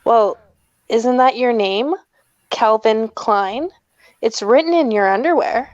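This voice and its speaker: A woman playing a smitten, soothing 1950s teenage girl in a script read-through, gentle and coy. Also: fairly high pitch, takes it slowly, no echo, highs cut off. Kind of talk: amateur table read of a film script.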